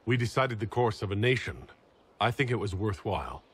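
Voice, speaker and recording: An actor playing a calm, diplomatic leader with a husky voice and an even temper in a fantasy video game. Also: male voice, fairly low pitch, fairly quick. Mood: composed. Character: composed, diplomatic male Inquisition leader. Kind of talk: video game dramatic acting.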